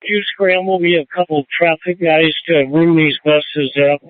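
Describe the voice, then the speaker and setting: A man's voice: on the high side, steady tone, measured pace, no room sound. A man working as an event command officer, giving operational instructions and updates over a two-way police radio. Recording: tinny narrowband police radio channel.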